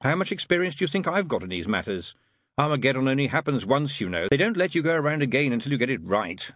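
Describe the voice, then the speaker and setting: Audiobook demon, played by a man: jaded, sarcastic, offhand drawl, husky tone, highly animated intonation. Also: quick pace, dry recording, clean studio sound. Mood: irritable. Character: sardonic, worldly, world-weary male demon.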